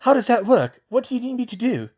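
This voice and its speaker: A man voicing an eager, keen teenage boy. The voice is raised and loud, with lively pitch. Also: fast, highs cut off, full character voice. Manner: eager, exclaiming. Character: eager young boy photographer.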